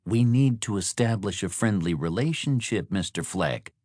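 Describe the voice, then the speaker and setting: A man voicing a smooth, controlling motel host in an audiobook, coldly polite, measured and condescending. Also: lowish voice, lively intonation, a little mellow, dry recording, clean studio sound.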